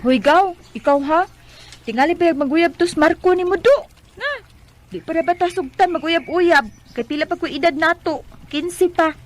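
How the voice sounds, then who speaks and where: An actress playing an excitable, teasing fifteen-year-old village girl in a Bisaya radio drama, with a Cebuano Filipino accent. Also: very high voice, normal speed, faint music behind. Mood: indignant. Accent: Filipino, Cebuano-speaking.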